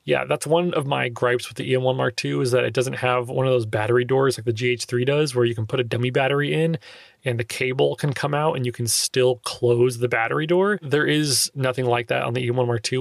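A young man with a slightly nasal, gravelly voice talks casually as a podcast host, peppering his explanations with fillers. His pitch sits in the middle range. The sound is close and dry, recorded in a small treated closet. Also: no echo. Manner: loose, filler-heavy explaining with frequent "like". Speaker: podcast host answering listener questions. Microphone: handheld recorder close up.

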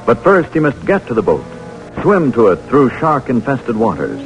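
A man's voice: mid-range voice, huge pitch swings, moderate pace, faint music behind, rough recording. A man giving scripted dramatic narration as a 1940s radio narrator, on an old recording.

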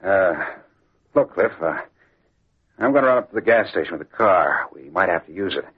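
An actor playing a shifty, scheming racketeer in an old-time radio crime drama, with a guarded, hard-boiled delivery. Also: male voice, sits low, expressive, moderate pace, husky. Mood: evasive. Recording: tinny, band-limited vintage radio recording.

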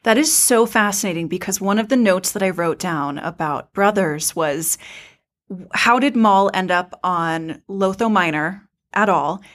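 A woman's voice: medium pitch, measured pace. A woman hosting a podcast, discussing and asking questions in a casual fan-chat style. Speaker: podcast host.

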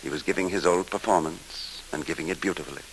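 A man narrating a scripted tale in classic radio style, theatrically moving between hushed and emphatic delivery, with music behind him. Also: low voice, fast talker, a little hiss or hum, low-quality recording. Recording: old radio broadcast, music underneath.

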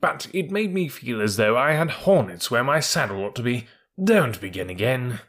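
A man voicing a high-strung, sensitive male army horse in a storybook, earnest and skittish. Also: sing-song, moderate pace, fairly bright tone. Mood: thoughtful.

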